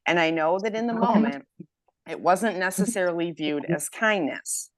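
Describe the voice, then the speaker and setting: Low-voiced woman in her fifties. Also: normal speed, no room sound. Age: 50s. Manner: enthusiastic, reads quotes aloud. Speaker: health program member. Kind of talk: casual group chat testimonial.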